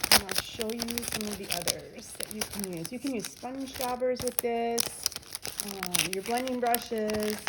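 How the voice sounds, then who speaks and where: A woman's voice: mid-range voice, very noisy. A woman with a nasal voice, casually narrating each step of a crafting demonstration. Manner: chatty, narrates each step while working.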